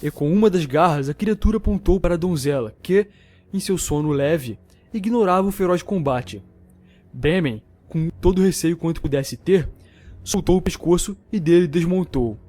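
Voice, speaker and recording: A man's voice: fairly high pitch, moderate pace, dark tone. A man with a Brazilian accent narrating a fantasy story in a dramatic, scripted storytelling style. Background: music.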